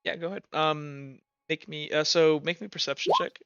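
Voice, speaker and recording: Bright-voiced young man as game master. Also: on the high side, fairly quick, clean tone, no room sound, lo-fi sound. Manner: loose and rambling, many fillers. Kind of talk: narrating a tabletop game.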